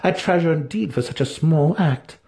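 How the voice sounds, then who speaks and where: A man voicing an imperious female dark elf high priestess in an audiobook fantasy tale, with a haughty, commanding manner. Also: moderate pace, vocal fry. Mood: haughty, bargaining.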